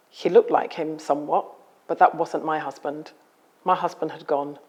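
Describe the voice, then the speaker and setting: A woman author speaking in a measured, articulate and solemn way about personal experience. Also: deep voice, a little mellow. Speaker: author and guest. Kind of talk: reading a memoir aloud, then interview talk.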